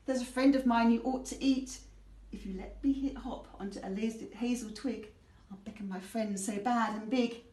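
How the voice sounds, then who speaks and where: A woman voicing a small clever male mouse, squeaky and loud. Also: fairly even intonation, normal speed, natural voice. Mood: urgent. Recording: roomy sound from far off.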